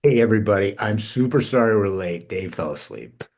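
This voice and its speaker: A man playing a brash, irreverent schemer with a husky voice. He is restless and casual, pitched a little low, and reads in a naturalistic script-reading style. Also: animated, brisk, a little mellow. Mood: easygoing. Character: brash, restless, fast-talking slacker cousin, scheming and irreverent. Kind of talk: casual naturalistic table read acting.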